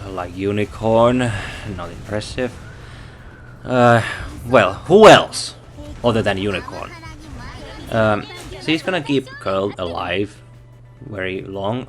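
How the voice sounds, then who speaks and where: A man reviewing a game off the cuff, in no hurry at all. He pauses often, thinks aloud and corrects his own figures. Faint music plays underneath. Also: on the low side, expressive. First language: Finnish.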